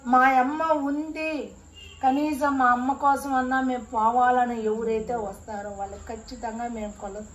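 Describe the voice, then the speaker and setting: An actress playing a gentle, all-knowing village mother goddess who speaks softly and consolingly. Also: fairly high pitch, fairly even intonation, normal speed, echoey room, close to an everyday voice. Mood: preaching. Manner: soft, consoling, sermon-like.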